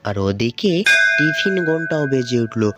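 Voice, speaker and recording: A man's voice: medium pitch, very animated, measured pace. Bangladeshi man narrating over music. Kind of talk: scripted cartoon story narration. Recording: music under the voice. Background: background music.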